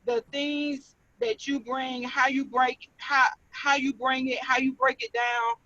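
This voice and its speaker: A woman's voice: sits high, sing-song, slow, sharp tone, dry recording. A woman calling into a live video call, gushing and exclaiming, stumbling and restarting as she talks. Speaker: caller. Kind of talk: excited casual call-in comment. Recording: dull lo-fi call audio.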